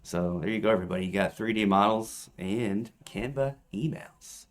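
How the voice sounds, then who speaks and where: A man's voice: on the low side, moderate pace. A man co-hosting a podcast as an edtech coach, explaining steps with eager enthusiasm in casual chat.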